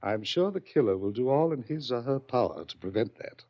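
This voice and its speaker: An actor playing a wealthy, smugly menacing yacht owner in a 1940s radio drama, taunting with theatrical pauses and drawn-out words. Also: male voice, medium pitch, lively intonation, natural voice. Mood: commanding, menacing. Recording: muffled old-time radio recording.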